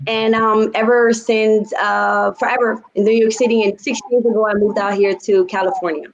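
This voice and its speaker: A lively young woman from the Dominican Republic tells her stories over a video call, laughing easily between them. Her voice sits at an ordinary pitch for a woman. The audio is a little dull. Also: normal speed. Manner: animated, gestures with her hands while talking. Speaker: guest singer. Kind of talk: casual video-call interview. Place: home studio.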